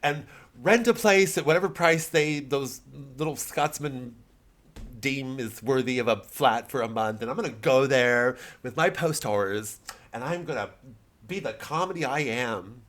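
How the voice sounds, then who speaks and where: A whiny, privileged comedian, put on by a man in a satirical bit. The voice is pushed high and insists haltingly, always justifying himself. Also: fairly bright tone, lightly acted. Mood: defensive, strained.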